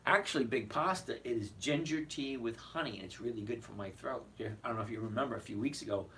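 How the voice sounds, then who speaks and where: Hoarse man chatting about stocks. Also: mid-range voice, fast, fairly bright tone. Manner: chatty, repeats "okay?", answers viewers. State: hoarse, voice cracking. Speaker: stock trading host. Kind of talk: casual livestream market commentary. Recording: distant mic with faint background noise.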